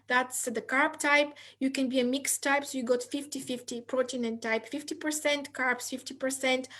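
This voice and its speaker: A woman's voice: high voice, fairly even intonation, a bit bright. A woman from Bulgaria, a nutritionist guest patiently explaining answers on a video call and often restarting her sentences. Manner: measured, explains step by step with many restarts.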